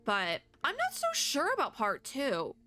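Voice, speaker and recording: Bright-voiced chatty woman vlogger. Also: sits high, huge pitch swings, a little slow. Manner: chatty, rambling, self-interrupting. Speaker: YouTuber host. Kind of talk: casual rambling reaction commentary.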